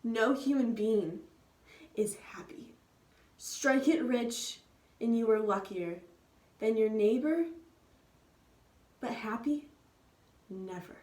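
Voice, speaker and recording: High-voiced woman reading dramatically. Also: takes it slowly, pauses often. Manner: loud, urgent, expressive. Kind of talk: dramatic reading of a Greek tragedy. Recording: echoey room, distant mic.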